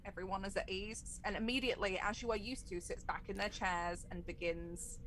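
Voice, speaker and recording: A woman's voice: medium pitch, steady tone, fairly quick, fairly bright tone, a little hiss or hum, low-quality recording. A woman acting as game master, improvising descriptive narration for a tabletop roleplaying game.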